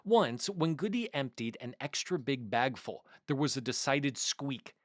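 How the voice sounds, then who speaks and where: A man narrating a children's story in an expressive, deliberate storybook delivery. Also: medium pitch, dry recording, clean studio sound. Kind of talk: scripted narration, children's read-aloud.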